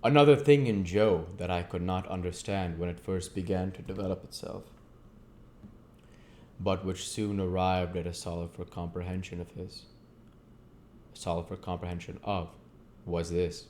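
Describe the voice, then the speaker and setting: A man's voice: low voice, fairly even intonation, moderate pace, a little mellow, a little hiss or hum. A man reading a classic novel aloud as an amateur audiobook narrator.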